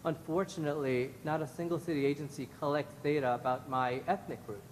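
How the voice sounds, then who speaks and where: A man's voice: steady tone, brisk. A man giving earnest, formal prepared testimony as a member of the public at a hearing. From Guyanese background. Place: large indoor public hearing room. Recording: echoey hall, clear rumbling room noise.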